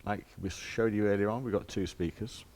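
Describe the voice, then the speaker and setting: A man's voice: fairly low pitch, fairly even intonation, fairly quick, darkish tone. A man giving an informal hands-on demonstration as a shop presenter, explaining step by step in plain, casual words.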